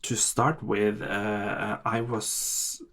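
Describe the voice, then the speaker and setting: Low gravelly man telling his story. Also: flat delivery, fairly bright tone. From Chile. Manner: halting, with many restarts and fillers. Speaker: podcast guest. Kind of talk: personal storytelling interview.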